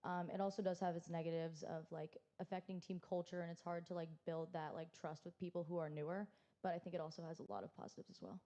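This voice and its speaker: Young woman, college gymnast, mellow voice, flat intonation, relaxed. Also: on the low side, quick pace, hardly any pauses, muffled recording. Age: young adult. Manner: relaxed, with frequent likes and ums. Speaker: student athlete panelist. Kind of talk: casual panel answers.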